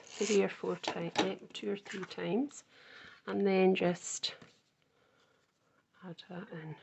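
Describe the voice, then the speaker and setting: Woman, croaky and slow-paced, casual crafting tutor who thinks out loud. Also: mid-range voice, a little hiss or hum. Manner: chatty, thinks aloud while working. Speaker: card-making crafter.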